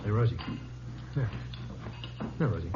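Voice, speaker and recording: A man's voice: fairly low pitch, animated, a little slow. An actor playing a sleazy, pushy man peddling dirty books in an old radio drama, wheedling and salesy. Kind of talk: 1950s radio drama acting. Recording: dull old radio recording with hiss.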